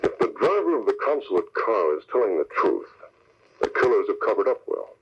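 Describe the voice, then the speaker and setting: An actor playing a resolute newspaper boss and secret crime-fighter, brisk and earnest, in an old radio drama. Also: male voice, lowish voice, big pitch swings. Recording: muffled, noisy old radio recording.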